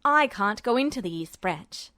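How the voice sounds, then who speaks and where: A woman voicing a stern, suspicious male escort who speaks curt and flat, in a fan audiobook reading. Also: mid-range voice, moderate pace, no echo, studio quality, pitched below the performer's own voice.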